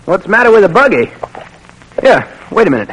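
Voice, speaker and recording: A young man playing a polite, easygoing army private in an old radio drama, with a folksy manner and husky voice. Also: sits high, sing-song, a little fast, a bit bright, a little hiss or hum, poor audio. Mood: friendly, helpful. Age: young adult.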